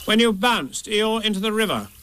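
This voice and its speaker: A man voicing an officious, solemn rabbit in a storybook, brisk and self-important. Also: high-pitched, expressive, pushed and bright, a little hiss or hum, pitched above the performer's own voice. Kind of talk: storybook character reading.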